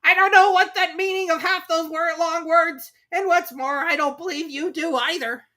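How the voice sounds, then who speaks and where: A woman's voice: very high-pitched, fairly even intonation, normal speed, sharp tone, voice pushed up. A woman voicing a cheeky young eaglet from a storybook, defiant and complaining. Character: cheeky young eaglet scoffing at long words.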